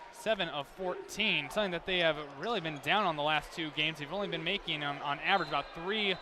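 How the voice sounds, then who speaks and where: A man's voice: on the high side, noisy background. A young man giving live play-by-play commentary as a sports announcer at a game.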